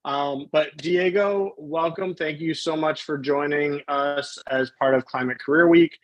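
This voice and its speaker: A man's voice: on the high side, steady tone, measured pace, highs cut off. Friendly informal male webinar host. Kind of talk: hosting a live online interview.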